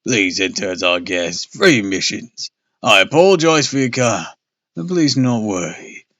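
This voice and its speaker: Creepy polite monster guy, low and gruff, takes his time, man reading it. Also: lively intonation, bright, forceful tone, dry recording, dull audio. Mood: eerie calm. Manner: gruff, slow and soothing. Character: gruff, low-voiced monster ticket taker, eerily polite.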